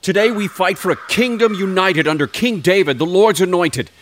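A man's voice: sits high, normal speed, a bit bright, a little hiss or hum, voice pushed up. A man voicing an army general who gives a declamatory rallying speech to his troops. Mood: rousing. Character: rousing male army general.

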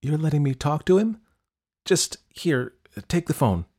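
Man voicing a dramatic lovestruck teenage girl, pitched up. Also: sing-song, measured pace, darkish tone, full character voice. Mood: eager. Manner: dramatic, emphatic. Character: lovestruck, defiant seventeen-year-old girl, emotional and pleading. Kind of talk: audiobook character acting.

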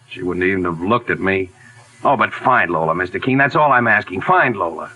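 A man's voice: low voice, animated, normal speed, pushed and bright, husky, muffled recording, exaggerated voice. An actor playing a chatty former vaudeville man who now runs a revue, talking in folksy slang in a 1940s radio drama. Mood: pleading. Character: eager ex-vaudeville revue leader, adult man.

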